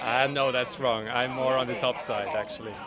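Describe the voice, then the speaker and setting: A man's voice: mid-range voice, steady tone, normal speed. A man from Switzerland chatting casually as a street interviewee, a little away from the mic. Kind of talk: casual chat. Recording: busy crowd, off-mic.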